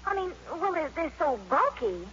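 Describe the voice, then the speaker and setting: An actress playing an excitable, bossy teenage high school girl in gushing 1950s radio drama style, on a muffled vintage broadcast. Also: moderate pace, heavy background noise. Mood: curious, amused. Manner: gushing, slangy, exclamatory.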